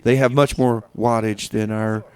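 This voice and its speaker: A man hosting a radio show with casual, rambling DJ patter in a halting, tongue-in-cheek, mock-official manner. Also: on the low side, level tone, darkish tone.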